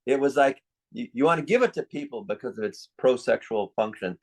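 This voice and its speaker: A man's voice: medium pitch, animated, brisk, darkish tone, rough recording. An older man speaking as a psychiatrist in an interview, giving his opinions in a reflective, conversational way.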